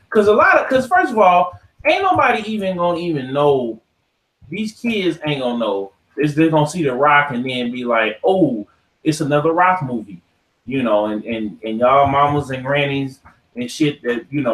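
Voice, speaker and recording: A man's voice: on the high side, a bit bright. Echoey American man, slangy podcast host. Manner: slangy, profane, ranting. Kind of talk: casual opinionated podcast talk. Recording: echoey untreated room.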